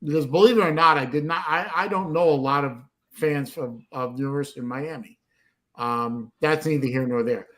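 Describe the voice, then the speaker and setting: A man's voice: a little high, a little fast, a bit bright. A middle-aged man from Florida hosting a casual livestream chat, blunt and rambling, swearing freely. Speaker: livestream host.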